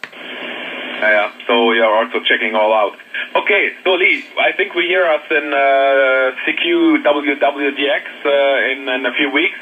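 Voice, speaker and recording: German-accented man over narrow, noisy ham radio. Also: mid-range voice. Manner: halting, searching for English words. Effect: shortwave radio transmission. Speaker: amateur radio operator. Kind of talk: casual radio contact chat. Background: radio static.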